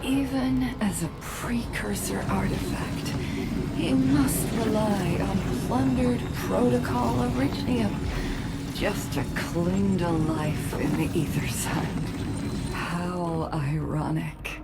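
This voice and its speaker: A woman voicing a cold, scheming video game villainess, slow and deliberate, with measured irony. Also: medium pitch. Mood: mocking. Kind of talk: dramatic video game voice acting. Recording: loud music under the voice.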